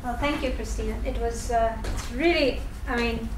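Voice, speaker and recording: A woman from Bangladesh in her forties speaks at a lecture, her voice sitting fairly high. She talks in a chatty, storytelling way, full of restarts and "you know". The sound carries an echoey hall with a faint hum behind it. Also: moderate pace. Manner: chatty, warm storytelling with many restarts and fillers. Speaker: guest speaker. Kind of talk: public talk. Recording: echoey room recording.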